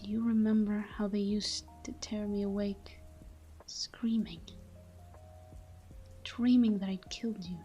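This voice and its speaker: Casting: actress as tender, brooding female vampire for sleep-aid audio drama; mellow, even-pitched, hushed and intimate delivery, light music underneath. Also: measured pace, pauses often, muffled recording, lightly acted. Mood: tender, haunted. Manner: soft, tender, breathy murmur slipping into whispers. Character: caring, tormented female vampire lover watching her sleeping partner. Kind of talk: intimate scripted ASMR roleplay monologue.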